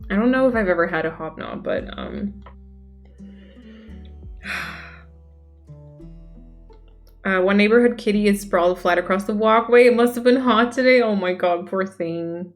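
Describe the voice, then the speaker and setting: A woman's voice: mid-range voice, a little slow, pauses often. A young woman from Denmark, chatting casually as she reads out and answers viewer messages on a stream. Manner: chatty, reacts aloud to chat. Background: faint music.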